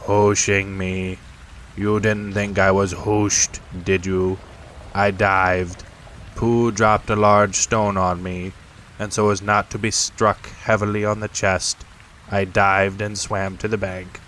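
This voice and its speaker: A man voicing a gloomy, sarcastic old male donkey who talks slowly and flatly. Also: fairly low pitch, a little hiss or hum, poor audio. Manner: slow, flat and glum.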